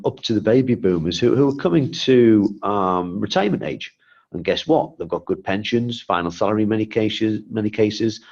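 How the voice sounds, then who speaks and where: A man's voice: lowish voice, a little mellow, highs cut off. A man with a congested voice from a cold, giving a webinar presentation as guest speaker and explaining with rhetorical questions.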